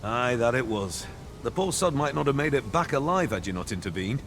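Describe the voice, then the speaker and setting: A man playing a blunt but caring soldier in a fantasy game, speaking expressive old-fashioned English. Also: medium pitch, brisk, a little hiss or hum. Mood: approving. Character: gruffly caring male fantasy soldier, concerned comrade. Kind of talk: scripted fantasy game dialogue, archaic English.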